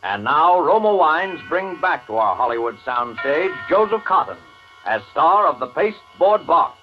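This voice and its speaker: High-toned dramatic male radio announcer. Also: sing-song, moderate pace. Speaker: show announcer. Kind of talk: dramatic 1940s radio introduction. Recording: muffled 1940s radio broadcast with music.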